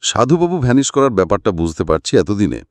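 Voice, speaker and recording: A man voicing a calm, sharp-witted private detective in an audiobook, assured and reassuring. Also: fairly low pitch, fast talker, no room sound, clean studio sound. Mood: wry. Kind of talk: audiobook character acting.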